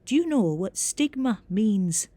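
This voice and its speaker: A woman's voice: medium pitch, sing-song, mellow, no room sound, pitched above the performer's own voice. A woman voicing a stern, formal older female teacher with a reedy Scottish accent in an audiobook. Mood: grave, firm. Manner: prim, measured, formal.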